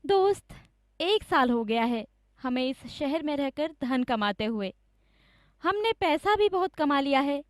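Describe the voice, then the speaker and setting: A woman voicing an honest, trusting village man with an earnest, expressive manner in a cartoon story. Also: high voice, a little slow, dry recording, clean studio sound. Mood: agreeable.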